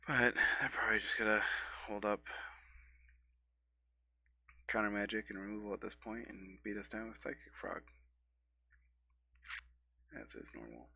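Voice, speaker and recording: A man's voice: fairly low pitch, normal speed, vocal fry, long pauses, pushed and bright, no echo. A man in his thirties with a scratchy, stuffy voice from a cold, casually commenting on his own card game as he plays, thinking aloud and correcting himself. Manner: rambling, thinking aloud with fillers and self-corrections. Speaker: gameplay streamer.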